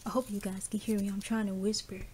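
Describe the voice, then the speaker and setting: A young woman talks casually and softly, as if to a friend, in a parked car. Her voice sits in a middle range, quiet by nature. A steady hiss of background noise runs under the phone recording. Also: quick pace. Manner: soft-spoken, low and quiet. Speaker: vlogger. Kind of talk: casual vlog chat.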